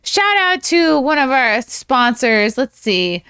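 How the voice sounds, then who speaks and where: A woman's voice: high-pitched, very animated, slow, pushed and bright, clean tone, dry recording, clean studio sound. A woman hosting a podcast in casual interview chat, with a gushing, exclamatory way of talking. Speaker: podcast host.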